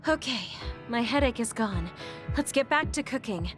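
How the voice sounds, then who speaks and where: A woman playing a sweet, guileless young woman in a video game, speaking gently and politely. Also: on the high side, animated, normal speed, close to an everyday voice. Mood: cheerful, eager. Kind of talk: English video game voice acting. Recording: faint music behind the voice.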